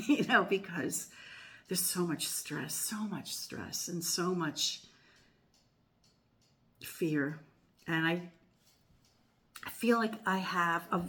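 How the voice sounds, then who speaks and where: An older American woman with a slightly low voice chats to her viewers. She rambles, pauses on fillers and corrects herself mid-sentence as she hosts her tarot channel. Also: fairly bright tone, a little hiss or hum. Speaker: tarot reader and channel host. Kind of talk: casual chatty monologue to viewers.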